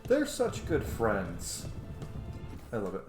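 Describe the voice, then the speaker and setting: A man's voice: lowish voice, wildly swooping pitch, slow, over music. A man in his thirties giving casual, chatty, sweary gaming commentary as a channel host. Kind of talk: casual let's play commentary. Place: small room at home, indoors. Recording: echoey room with faint noise.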